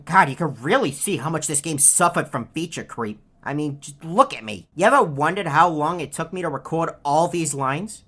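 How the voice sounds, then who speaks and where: An actor playing a snarky, self-aware video game character who taunts the player with sarcastic, condescending, deadpan lines. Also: male voice, a little high, normal speed, few pauses. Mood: bored sarcasm.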